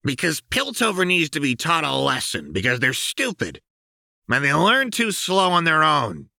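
A man's voice: a little high, huge pitch swings, normal speed, creaky, pushed and bright, no echo, clean studio sound, pitched above the performer's own voice, exaggerated voice. A man voicing a manic, gleefully violent young woman crime baron in an audiobook, with a brash, taunting delivery. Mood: menacing glee.

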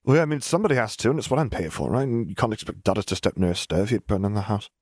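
A man's voice: fairly low pitch, expressive, rapid-fire, a little mellow, dry recording, clean studio sound, pitched above the performer's own voice. A man voicing a chatty, blunt, oddly morbid little girl in an audiobook. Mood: chatty, matter-of-fact. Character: odd, matter-of-fact, blithely morbid little girl. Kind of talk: audiobook character voice.

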